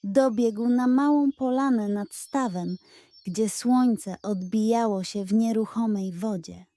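A woman's voice: on the high side, takes it slowly, warm tone, dry recording. A woman reading a scripted children's story as a narrator.

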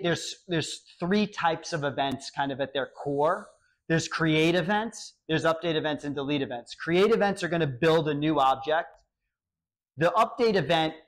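A man in his thirties giving an animated technical talk at a meetup in a small cafe, often acting out example dialogue, recorded from a camera at a distance. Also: a little high. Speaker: meetup speaker.

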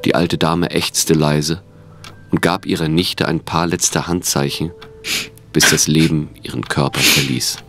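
A man narrates an audio drama in a very deep voice. He reads scripted story passages, with quiet music playing underneath. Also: flat delivery, measured pace.

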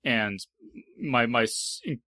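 A man hosting a casual panel discussion, speaking thoughtfully and haltingly as he repeats words. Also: medium pitch, animated, pushed and bright, dry recording. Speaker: podcast host.

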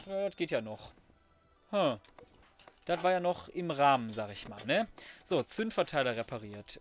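A man's voice: on the high side, a little hiss or hum. A man giving casual let's play commentary, colloquial and mildly sweary, often muttering to himself. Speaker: gaming video host.

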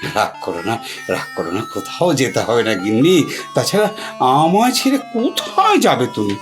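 A woman's voice: extremely low pitch, huge pitch swings, normal speed, full character voice. A woman playing a coaxing, playful old Shiva in an audio drama, repeating words as he wheedles. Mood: coaxing, pleading. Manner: wheedling, stammering repetitions of words. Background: music.

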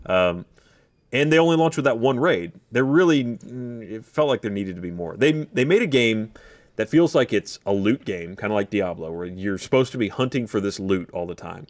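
Male, thirties, lowish voice. Casual podcast panelist who argues his point, recorded on a studio desk mic. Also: animated, normal speed, no room sound. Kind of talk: casual gaming discussion. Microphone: studio microphone on desk.

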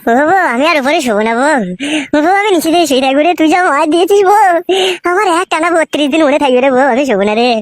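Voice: high-pitched, expressive, fast talker, hardly any pauses, no echo, clean studio sound. A performer voicing a whiny, pleading, crude village husband in a comic cartoon. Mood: frightened, whining. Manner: nagging, wheedling, coarse-mouthed. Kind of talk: exaggerated comic cartoon dialogue.

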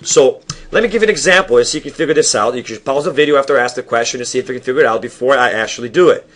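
Young man teaching, halting and self-correcting. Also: medium pitch, a bit bright. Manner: halting, self-correcting explanations with frequent restarts; asks the viewer questions and checks in with "all right?". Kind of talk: recorded classroom lecture over slides. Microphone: webcam at desk.

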